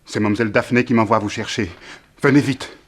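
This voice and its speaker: A man playing an alarmed plantation servant in a 1960s French radio drama, speaking deferentially in urgent, halting phrases. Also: lowish voice, steady tone, natural voice.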